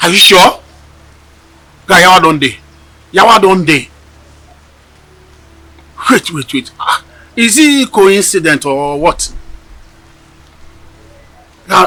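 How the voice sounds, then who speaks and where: An actor playing a bewildered, anxious friend on a phone call in a screen drama, with a Nigerian accent and a halting, repetitive, emphatic delivery. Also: male voice, high voice, expressive, bright, forceful tone, heavily acted. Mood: surprised, confused.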